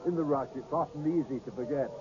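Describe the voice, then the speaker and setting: An actor playing a courteous, mysterious older gentleman in a radio drama, speaking in a formal, measured and assured way. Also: mid-range voice, lightly acted. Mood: breezy, reassuring. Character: courteous, mysterious old gentleman who grants second sight. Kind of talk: 1980s radio drama acting. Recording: muffled old radio drama recording.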